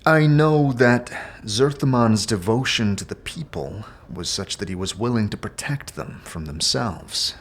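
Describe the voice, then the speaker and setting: A man's voice: on the low side, slightly rough, exaggerated voice. A man playing a weary, brooding immortal wanderer in a fantasy audio drama, earnest and reflective. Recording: music bed under the voice.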